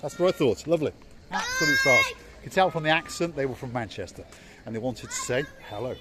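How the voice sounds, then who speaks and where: A man's voice: a little high, wildly swooping pitch, quick pace. A man hosting a casual walking-tour vlog, chatty and playful, teasing his companion as he films outdoors. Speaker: vlog host. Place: outdoor holiday resort. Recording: faint outdoor background noise.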